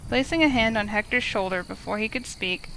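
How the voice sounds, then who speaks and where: A woman's voice: fairly high pitch, brisk, a bit bright, a little hiss or hum, poor audio. A woman streamer narrating a visual novel, reading the story aloud. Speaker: streamer, reader.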